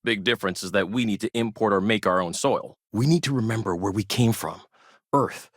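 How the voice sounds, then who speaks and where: A man's voice: fairly low pitch, fairly quick, dry recording, studio quality, lightly acted. An actor playing a protective, wary traditionalist farmer and family man in a space colony game, stern and guarded with folksy politeness. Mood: earnest, matter-of-fact. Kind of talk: video game character dialogue.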